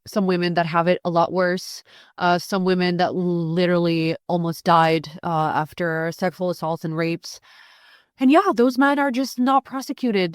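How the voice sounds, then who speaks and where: A young woman whose first language is French, speaking as a podcast guest in a candid, hesitant way with many pauses and fillers. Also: fairly low pitch, smooth, no echo, clean studio sound.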